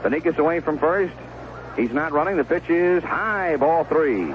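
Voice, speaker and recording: A man's voice: fairly high pitch, lively intonation, normal speed, highs cut off, background noise. A man calling live baseball play-by-play in short, clipped phrases and conversational description, heard on a 1970s radio broadcast. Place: stadium broadcast booth. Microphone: broadcast microphone with headset earphone monitoring.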